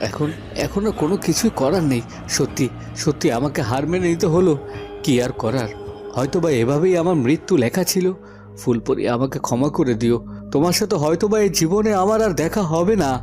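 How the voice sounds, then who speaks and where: পুরুষ কণ্ঠে উঁচু গলার আন্তরিক তরুণ রাজপুত্র. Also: male voice, a little high, animated, fairly quick, over music, natural voice. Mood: resigned, sorrowful. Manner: stammering, repeats words, earnest. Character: earnest young prince, devoted lover, easily frightened. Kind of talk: melodramatic cartoon voice acting.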